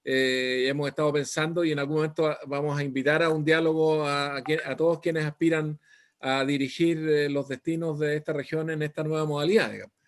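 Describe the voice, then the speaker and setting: A man's voice: fairly high pitch, level tone, fairly quick. Hesitant Chilean man moderating a panel. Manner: hesitant, many fillers and "digamos".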